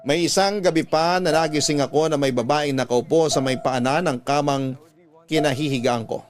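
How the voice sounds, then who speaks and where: Radio drama narrator: actor as haunted young man, slightly high voice, fearful first-person telling, music underneath. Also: moderate pace, lightly acted. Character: haunted young man confiding his frightening experiences. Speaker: narrator of a letter.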